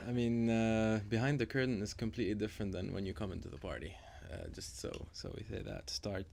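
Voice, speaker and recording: Low gravelly man. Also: fast talker, darkish tone. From Lebanon. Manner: hesitant, many ums and repeated words. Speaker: nightlife event organizer. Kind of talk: casual radio chat.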